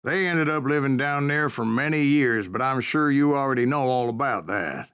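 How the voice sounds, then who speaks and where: An actor playing a grizzled old American bounty hunter in a Western game, telling boastful tales in a folksy, gravelly voice. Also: male voice, mid-range voice, normal speed, fairly bright tone, lightly acted. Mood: measured storytelling. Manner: folksy, boastful storyteller drawl. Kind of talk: dramatic Western game narration.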